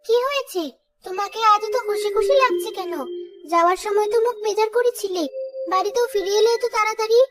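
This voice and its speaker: A woman's voice: very high voice, brisk, music in the background. A woman playing a curious, doubting village wife in a children's cartoon. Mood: questioning, doubtful.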